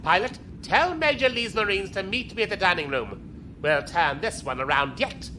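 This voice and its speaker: High-pitched, imperious space commodore. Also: male voice, animated, normal speed. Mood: commanding. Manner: commanding, sharp. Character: imperious, exasperated male imperial fleet commodore. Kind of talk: audio drama acting. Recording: long hall reverb, noisy ambience.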